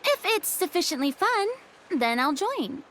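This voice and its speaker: Very high, theatrical young lady. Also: measured pace, a little hiss or hum, exaggerated voice. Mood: breezy, candid. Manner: expressive, breezy, frank. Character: theatrical, candid young female former superior, playful. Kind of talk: video game character dialogue. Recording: music playing behind the voice.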